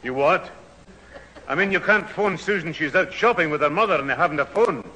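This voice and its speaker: A troubled old Scottish grandad, firm but kindly, with a fairly high, very expressive male voice. It is old radio sitcom acting, recorded in a reverberant hall. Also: brisk. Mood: baffled.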